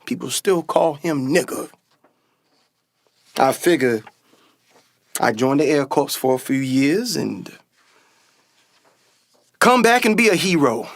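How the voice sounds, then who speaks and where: A man's voice: mid-range voice, animated, takes it slowly, pauses often, dry recording. A man playing a confident, dreamy older brother and aspiring pilot in a radio play, with an earnest, folksy storytelling manner. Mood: earnest, proud. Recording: music behind a dry voice. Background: music.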